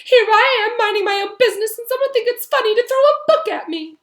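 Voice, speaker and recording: A woman voicing a miserable, self-pitying ghost girl with a shrill wail, theatrical and sulky, in a storybook read-aloud. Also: fast talker, fairly bright tone, roomy sound. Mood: aggrieved. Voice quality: shrill, wailing. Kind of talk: children's novel read-aloud.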